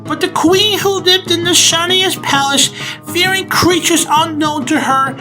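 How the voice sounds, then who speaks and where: A woman's voice: extremely high pitch, steady tone, a little slow. A woman playing a solemn, hopeful storyteller narrating a fairy tale in a cartoon comic dub, over music. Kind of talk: scripted dramatic narration. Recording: music behind the voice.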